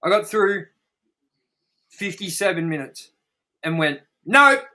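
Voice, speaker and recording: A man's voice: high-pitched, lively intonation, slow, bright, forceful tone. A man co-hosting a casual, opinionated film review chat, speaking in a blunt, sweary, exaggerating way. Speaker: co-host of a movie review show.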